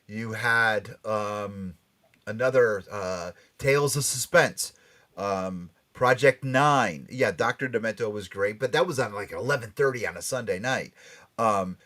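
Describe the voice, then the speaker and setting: A middle-aged American man hosting a casual podcast chat, often halting and repeating words as he steers between topics. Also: on the low side, fairly bright tone. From Connecticut, United States. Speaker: podcast host.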